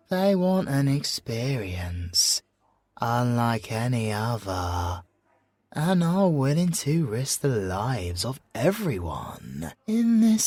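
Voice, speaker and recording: A low-voiced British man plays a sly, possessive villain in an audio roleplay. He draws his words out very slowly and breathily, teasing and theatrical, with swooping pitch. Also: darkish tone, husky, no room sound. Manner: teasing, drawn-out, theatrical delivery. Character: sly, seductive, possessive male villain toying with an officer. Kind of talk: theatrical ASMR character roleplay.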